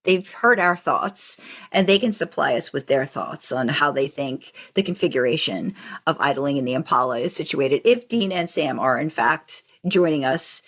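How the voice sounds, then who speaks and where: A woman's voice: sits low, expressive, normal speed, a bit bright. A woman co-hosting a casual, joking fan podcast, chatting conversationally and repeating herself for emphasis. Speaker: podcast co-host.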